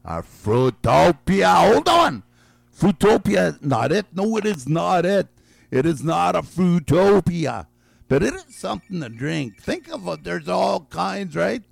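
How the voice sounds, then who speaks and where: A man's voice: sits high, sing-song, gravelly, dry recording. A man hosting a call-in guessing game on the radio, drawing out and repeating each guess while he is losing his voice. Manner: drawn-out, repeats each guess slowly, chuckles. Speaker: radio game show host.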